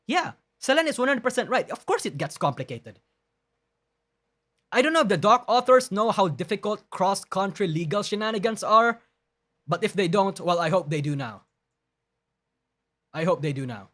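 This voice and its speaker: A male streamer with a voice a touch higher than most men's, arguing his points emphatically on a live broadcast. He trips over his words and starts sentences over, and he swears bluntly as he goes. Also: expressive, normal speed, pauses often, a bit bright. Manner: emphatic, argumentative, with frequent restarts; blunt and profane. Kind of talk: live-stream commentary reading and rebutting a document.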